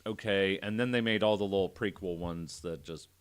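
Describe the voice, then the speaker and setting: A man's voice: low-pitched, moderate pace, clean tone. A man on a podcast panel telling a story in a casual, joking, profane way with banter.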